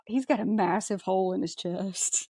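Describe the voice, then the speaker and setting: A woman co-hosts a podcast in a dry, close-sounding recording. Her pitch sits in the middle of the range. She talks informally and with emphasis, as in a casual chat. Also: normal speed, mellow, husky, dry recording. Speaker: podcast co-host. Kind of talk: casual chat about a sci-fi film.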